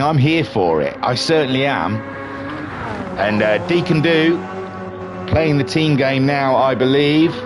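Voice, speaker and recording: A middle-aged man from England with a mixed northern and southern English accent, chatting loosely while commentating a live racing stream and reading chat aloud. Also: a little high. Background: game music and engine sounds.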